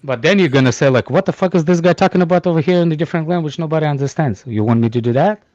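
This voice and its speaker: A man whose first language is Russian, chatting in a casual group call. His English is halting but blunt, and he teases the others without holding back. His voice sits a little high, and the sound is dry and slightly dull. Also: darkish tone, no room sound. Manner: blunt, teasing, halting non-native English. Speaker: panelist. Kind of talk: casual chat.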